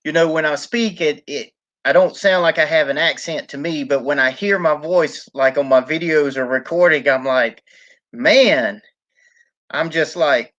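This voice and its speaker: A man's voice: fairly high pitch, a little slow, fairly bright tone. Easygoing man, muffled stream co-host. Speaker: co-host reading viewer questions. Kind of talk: casual live chat.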